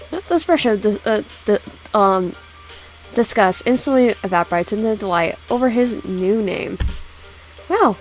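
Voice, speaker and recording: A woman's voice: mid-range voice, rough recording. A young woman reading a visual novel aloud with side comments, often stumbling, restarting words and giggling.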